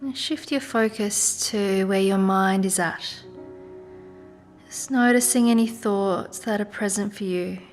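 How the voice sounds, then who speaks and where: A woman leading a guided meditation in a soft, gentle, soothing manner with unhurried pauses. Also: mid-range voice. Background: music.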